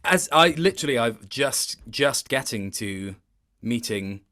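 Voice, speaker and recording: A man's voice: medium pitch, animated, unhurried, pushed and bright. Thoughtful man in a casual interview. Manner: thoughtful, hesitant, circling back to rephrase. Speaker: voice actor being interviewed.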